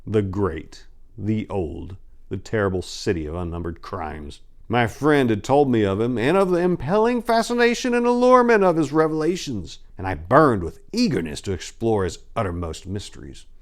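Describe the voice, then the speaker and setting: Haunted horror narrator, acted by a man, low and theatrical. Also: lively intonation, lightly acted. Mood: fevered dread. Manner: theatrical, ominous, with drawn-out emphasis. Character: haunted, dread-filled male narrator of a cosmic horror tale. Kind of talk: dramatic horror story reading.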